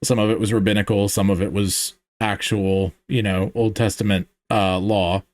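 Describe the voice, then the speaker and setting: A man's voice: fairly low pitch, flat delivery, normal speed, no room sound. A man hosting a podcast, talking through theology in a casual, searching way with lots of fillers and restarts. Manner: thinks aloud with many fillers and restarts. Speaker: podcast host.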